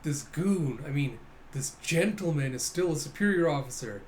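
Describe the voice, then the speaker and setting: A man's voice: a little high, animated, moderate pace, a little hiss or hum, natural voice. Man voicing a formal, dutiful young woman soldier. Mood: doubtful. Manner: formal, clipped military address.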